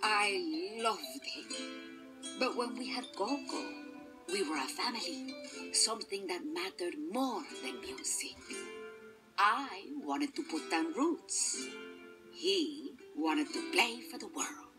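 A woman voicing a stern, wounded skeleton matriarch in a storybook read-along, firm and deliberate. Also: medium pitch, lively intonation, over music. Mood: stern, wistful.

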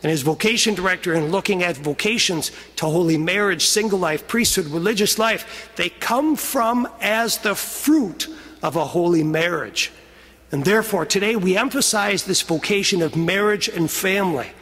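A middle-aged American man preaches a homily in a high, husky voice. He is earnest and emphatic, stretching key phrases for weight. His words ring with long reverb from a chapel pulpit microphone. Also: normal speed, fairly bright tone. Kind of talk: homily, telling stories and exhorting. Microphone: gooseneck lectern microphone.

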